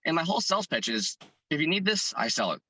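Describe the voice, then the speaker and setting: Hoarse male interview guest, bright-toned, earnest, thinks out loud. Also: fairly high pitch, very animated, fast talker, no room sound. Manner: earnest, thinking aloud with many restarts. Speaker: fitness content creator and business owner. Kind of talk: interview guest answering questions.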